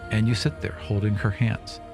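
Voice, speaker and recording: A man's voice: on the low side, little pitch movement, moderate pace, gravelly, music in the background. A man speaking as the host and game master of a tabletop fantasy show.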